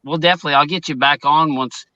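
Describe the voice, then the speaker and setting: Bright, forceful man over a dull connection. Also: a little high, steady tone, fast talker, dry recording. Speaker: talk show host. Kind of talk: casual chat on a live stream.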